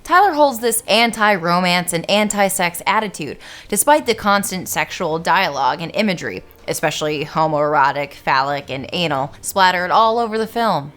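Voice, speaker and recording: A woman's voice: fairly low pitch, expressive, normal speed, hardly any pauses, a bit bright. A young woman hosting a scripted film-analysis video essay, narrating with wry, sarcastic asides.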